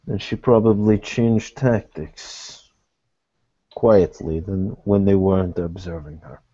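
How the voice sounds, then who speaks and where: A man speaking in character in a tabletop roleplay, with formal, measured wording, on muffled low-fidelity audio. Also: warm tone. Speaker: game master playing a character in a tabletop roleplay.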